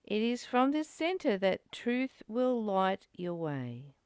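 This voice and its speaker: A woman's voice: mid-range voice, very animated, slow pace, a little mellow, smooth, no echo, clean studio sound. A woman hosting an informal spiritual study talk, speaking earnestly and posing rhetorical questions. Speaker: host of a spiritual study session. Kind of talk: informal spiritual teaching and discussion.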